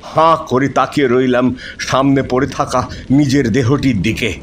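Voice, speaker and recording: A man narrating a horror story in the first person, dramatic and suspenseful, with pauses for effect. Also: medium pitch, fairly even intonation, noisy background. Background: music.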